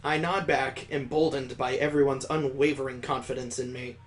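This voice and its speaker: A man's voice: medium pitch, steady tone, normal speed. A man reading a visual novel aloud as a streamer, breaking off for sarcastic, amused asides. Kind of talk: reading game text aloud with joking asides.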